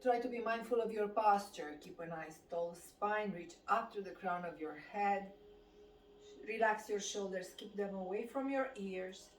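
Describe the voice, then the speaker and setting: Slow, calm Romanian-accented woman instructor. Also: medium pitch, slight background noise. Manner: calm, slow instructional cues timed to breathing. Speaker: fitness instructor. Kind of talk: guided qigong class instruction. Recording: roomy sound from a distant mic.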